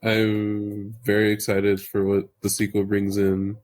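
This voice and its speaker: A man chatting casually as a podcast panelist, offering his thoughts in a hesitant, measured way. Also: fairly low pitch, monotone, a little mellow. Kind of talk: casual chat.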